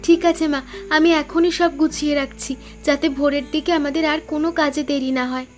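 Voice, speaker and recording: A woman's voice: sits high, fairly even intonation, a little fast, over music, natural voice. A woman voicing a dutiful, eager village daughter in a cartoon story, earnest and obliging.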